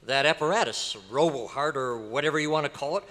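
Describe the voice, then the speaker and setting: A man's voice: on the high side, fairly quick, fairly bright tone, lightly acted. A man playing an earnest, inquisitive newspaper reporter, polite but dramatic, in a recreation of an old-time radio drama. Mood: pleading, earnest. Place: hotel convention meeting room. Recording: echoey hall, live stage performance.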